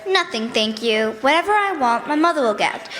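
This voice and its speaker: A woman's voice: high voice, lively intonation, normal speed, a crowd in the background. A female performer playing a precocious, polite, sceptical little girl in a radio drama, prim and matter-of-fact. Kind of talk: live stage radio drama acting. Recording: live stage, audience and music behind.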